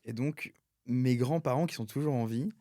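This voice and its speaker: A man's voice: medium pitch, normal speed, dark tone, no room sound, clean studio sound. A young man hosting a podcast, asking hesitant questions and repeating words as he goes. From family of Italian origin. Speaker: podcast host. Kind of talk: casual podcast interview.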